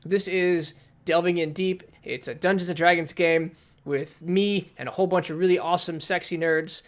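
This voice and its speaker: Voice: mid-range voice, steady tone, normal speed. A dungeon master hosting a casual tabletop game stream, joking and self-deprecating, often stammering and restarting. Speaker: dungeon master and show host.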